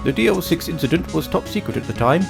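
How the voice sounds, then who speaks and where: Man acting a creaky, regretful older lawyer. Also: a little high, expressive, quick pace, over music. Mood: ashamed, grave. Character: regretful older male lawyer confessing past shame. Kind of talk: voiced video game drama.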